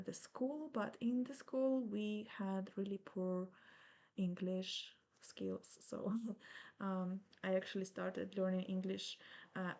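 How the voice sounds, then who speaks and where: A woman from Ukraine in her thirties, speaking English with a Ukrainian accent as she patiently talks through an embroidery tutorial step by step. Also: mid-range voice. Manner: slow, patient, gently reassuring instruction. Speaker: embroidery teacher.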